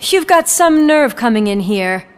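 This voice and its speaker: A tough policewoman in a video game cutscene. Her voice is somewhat high, with a direct, pressing delivery. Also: sing-song, a little slow. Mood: urgent, tense.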